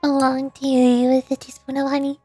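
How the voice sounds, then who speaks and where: A woman's voice: sits high, monotone, normal speed, mellow, soft background music. A woman livestreamer chatting casually in a playful, sleepy manner. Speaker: VTuber streamer voice-acting game dialogue.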